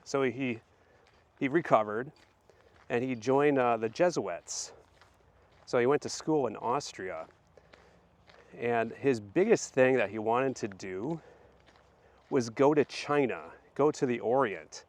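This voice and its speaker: Man, hoarse from illness, casual walk-and-talk, rambling, lots of fillers. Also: mid-range voice, slow, pauses often. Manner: rambling, many fillers and restarts. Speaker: channel host.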